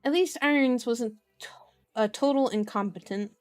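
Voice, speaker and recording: Asmr lady reading a novel out loud, playful n chatty, soft music on. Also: a little high, normal speed. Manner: playful, chatty, strays from the text into comments. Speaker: host of an ASMR channel. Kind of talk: ASMR book reading with casual asides.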